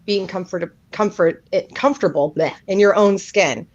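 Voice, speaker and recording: A woman's voice: medium pitch, moderate pace, no echo, highs cut off. A woman hosting a live interview, chatty and affirming. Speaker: host.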